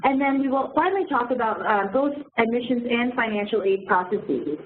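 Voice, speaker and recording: Higher-voiced woman moderating, phone-like audio. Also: expressive. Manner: halting, filler-heavy. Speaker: admissions staffer moderating an information session. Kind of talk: welcoming session introduction. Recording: phone-like lo-fi audio with faint noise.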